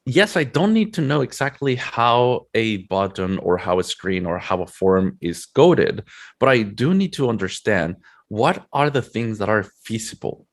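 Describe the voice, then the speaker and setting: A man's voice: lowish voice, expressive, unhurried. A man from Mexico City with a Mexican accent, a native Spanish speaker, answering interview questions conversationally as a guest. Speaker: interview guest, product manager.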